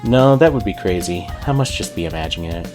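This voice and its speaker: A man's voice: low voice, lively intonation, fast, over music. A man voicing a level-headed, skeptical female pony shopkeeper with casual, reasoning speech in a storybook reading. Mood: puzzled.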